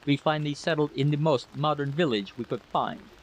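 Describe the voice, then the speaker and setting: A man's voice: a little high, a little fast, rough recording. A man reading the part of an uneasy, earnest soldier in a story.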